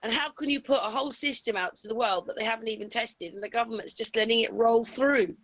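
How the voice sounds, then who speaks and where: A woman's voice: a little high, fast, a bit bright. A woman in casual, opinionated discussion on an online call. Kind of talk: casual conspiracy-minded discussion.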